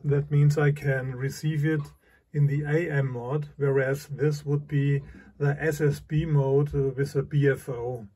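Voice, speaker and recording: A man giving a halting, explanatory hands-on commentary as a radio hobbyist demonstrating a receiver. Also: a little high, little pitch movement, dark tone. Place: indoors beside a shortwave radio. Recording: clear radio static and hiss behind.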